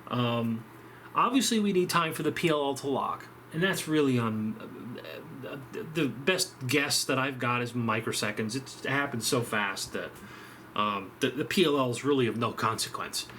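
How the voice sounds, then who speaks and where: A man giving an informal, unscripted technical explanation as a demonstrator, halting as he thinks aloud. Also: medium pitch, pushed and bright, slight background noise. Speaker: presenter of an electronics demonstration.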